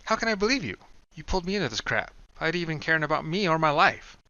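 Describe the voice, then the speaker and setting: A man's voice: a little high, sing-song, fast talker, pushed and bright, no room sound, dull audio, voice pushed up, exaggerated voice. A man voicing Brian, an exasperated, sarcastic young man pulled into danger, grumbling in a wry way. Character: young man, bewildered, exasperated, reluctant hero.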